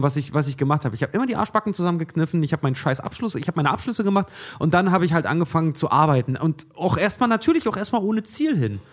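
A man from eastern Germany co-hosting a podcast, frank and rambling in casual chat. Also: fairly high pitch. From eastern Germany, Uckermark. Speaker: podcast co-host.

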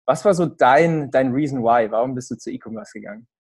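Eager podcast host guy, bit breathy voice, drops english buzzwords. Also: mid-range voice, expressive, a little fast, a little mellow. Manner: enthusiastic, casual, uses English buzzwords. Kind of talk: podcast interview.

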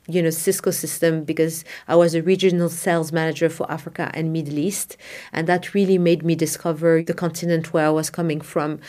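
A woman's voice: low-pitched, darkish tone. A middle-aged woman from Morocco, a founding director of an art fair, answering interview questions thoughtfully with frequent fillers and restarts. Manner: thoughtful, many "you know" fillers and restarts.

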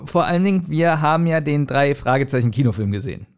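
Slightly high, creaky man chatting. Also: animated, fast. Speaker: podcast co-host. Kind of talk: casual chat.

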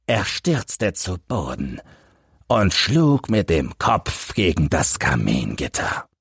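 A man voicing an embittered old crippled soldier who tells his tale in a halting, dramatic way for an audiobook. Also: deep voice, wildly swooping pitch, slightly rough, no echo, studio quality, full character voice. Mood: grim, bitter. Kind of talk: audiobook character reading.

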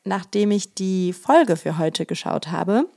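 Hoarse chatty woman. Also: mid-range voice, expressive, slow pace, mellow. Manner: chatty, self-mocking, laughs often. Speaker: podcast co-host. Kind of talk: casual chat.

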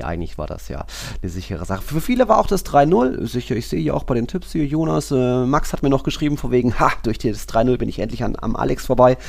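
A man hosting a football podcast, talking casually in a rambling, excitable fan's manner. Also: medium pitch, vocal fry.

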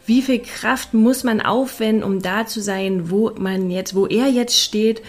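A woman's voice: medium pitch, a little slow. A woman podcast host speaking in a scripted, presenting style with interview questions.

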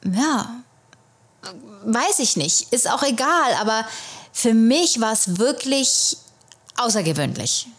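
A woman's voice: on the high side, sing-song, slow, a bit bright. Chatty German woman podcast host. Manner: chatty, rambling, with many hesitations. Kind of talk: casual solo podcast storytelling.

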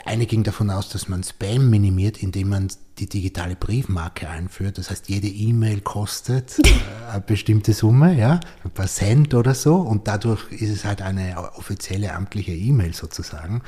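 A man's voice: fairly low pitch, moderate pace, few pauses, mellow, breathy edge. An Austrian man in a reflective podcast conversation, feeling his way through thoughts and repeating words as he goes. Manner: hesitant, repeats words while formulating thoughts. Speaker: podcast host and writer.

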